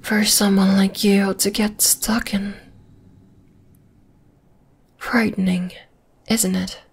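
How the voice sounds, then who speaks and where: A woman playing a dominating, flesh-eating spider monster in an ASMR roleplay, whispering in a breathy, teasing, menacing way. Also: medium pitch, flat delivery, slow pace, heavily acted. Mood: gloating, menacing. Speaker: voice actor.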